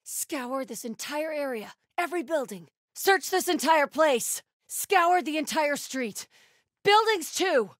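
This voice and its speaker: A fierce, high-pitched zealot warrior. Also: female voice, expressive, slow, dry recording, clean studio sound, heavily acted. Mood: commanding. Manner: forceful, commanding, accusing. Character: fierce, zealous female cult warrior hunting enemies. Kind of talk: video game combat barks.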